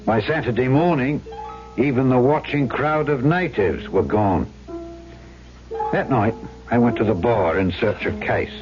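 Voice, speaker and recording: Man acting weary old-time island trader, gruff but soft-hearted, radio play, dull sound with music behind. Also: mid-range voice, measured pace, natural voice. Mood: reflective. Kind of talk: 1970s radio drama acting with first-person narration.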